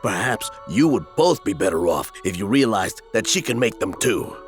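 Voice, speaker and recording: A man playing a wry, level-headed friend in an audio drama, speaking in a measured, dry, reproving way. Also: on the low side, big pitch swings, over music.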